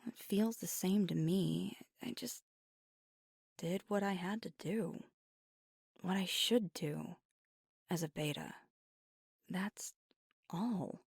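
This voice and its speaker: A woman voicing a weary, guarded but tender young werewolf girlfriend in an intimate ASMR roleplay, speaking in a breathy, husky, halting hush. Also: fairly low pitch, no echo, clean studio sound, heavily acted. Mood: self-deprecating, deflecting. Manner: hushed, halting, confiding.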